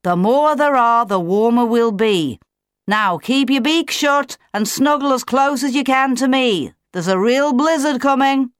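Fatherly male emperor penguin, voiced by a woman for a storybook: matter-of-fact, booming, patient, dry studio sound. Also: very animated, a little slow, dry recording. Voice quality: deep.

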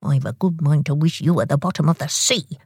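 A woman voicing a bossy, sulky, quick-tempered young brother in a children's storybook. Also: medium pitch, very animated, breathy edge, no echo, clean studio sound, pitched above the performer's own voice, exaggerated voice.

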